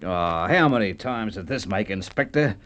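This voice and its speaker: A man's voice: on the low side, animated, normal speed, over loud music, close to an everyday voice. An actor playing an anxious, broke man turned guilty killer, with an Australian accent, in Golden Age radio drama style. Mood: rehearsed, brazen. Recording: old radio recording with background hiss.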